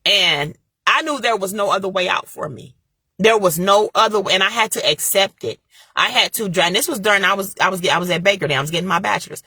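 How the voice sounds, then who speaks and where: A woman's voice: medium pitch, fast talker, pushed and bright, breathy edge, no room sound. A young woman with giving an impassioned motivational talk, repeating her points and speaking straight to her viewers. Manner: preachy, repeats phrases, talks straight to viewers. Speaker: motivational vlogger.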